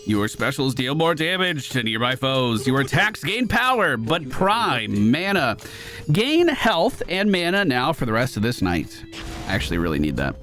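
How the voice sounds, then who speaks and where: A man's voice: medium pitch, big pitch swings, normal speed, pushed and bright. A man streaming a video game, giving casual, jokey running commentary and talking himself through play. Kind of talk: live gameplay commentary. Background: game music.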